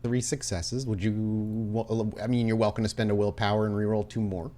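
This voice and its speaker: A man running a tabletop roleplaying game as game master, telling the story in a dramatic, deliberate way with pauses. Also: on the low side, flat delivery, mellow.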